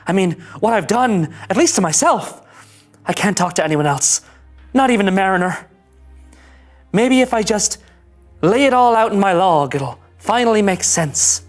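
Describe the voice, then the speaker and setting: Woman acting an anxious, very animated young male ensign. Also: medium pitch, moderate pace, close to an everyday voice. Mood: troubled, confessional. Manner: fretful, earnest. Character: anxious, rule-following young male starship ensign, easily panicked. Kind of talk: scripted roleplay table read of animated sci-fi. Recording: music under the voice.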